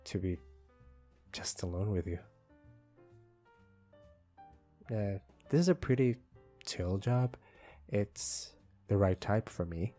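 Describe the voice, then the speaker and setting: A man acts out a bashful young male employee with a crush in an ASMR roleplay. The voice is low and very unhurried, soft and hesitant, full of apology. Guitar music plays behind it. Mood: flustered, apologetic. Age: young adult. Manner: hesitant, soft-spoken, halting. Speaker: voice actor. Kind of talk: ASMR roleplay, intimate one-sided conversation.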